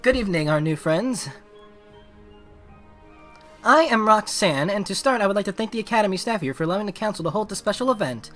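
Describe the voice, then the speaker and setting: A man voicing a poised teenage student council president, reading a formal, measured speech from a visual novel. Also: high-pitched, animated, fairly bright tone, soft background music. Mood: formal, earnest.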